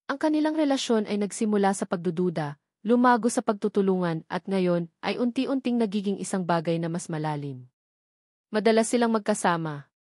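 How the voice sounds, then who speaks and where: A woman's voice: medium pitch, darkish tone, dry recording, studio quality. A woman reads a scripted dramatic story as its narrator.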